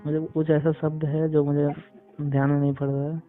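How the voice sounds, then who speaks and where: A man chatting casually and intimately on a phone call, halting as he searches for words. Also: a little high, monotone. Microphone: phone call recording. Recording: tinny phone line, music behind.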